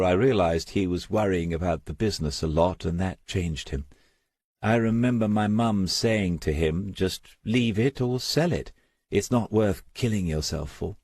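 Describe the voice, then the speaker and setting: A man's voice: sits low, a little mellow, no echo, clean studio sound, pitched above the performer's own voice. A man voicing a resolute, bitter young mother in an audiobook, frank and plain-spoken. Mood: wistful. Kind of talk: audiobook character dialogue.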